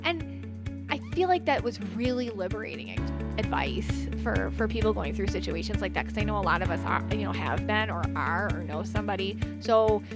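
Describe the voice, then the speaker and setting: A woman's voice: medium pitch, animated, moderate pace, loud music behind the voice. A woman hosting a podcast, chatting casually and bubbly, often laughing, as she reads her guests' energy. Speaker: podcast host and aura reader.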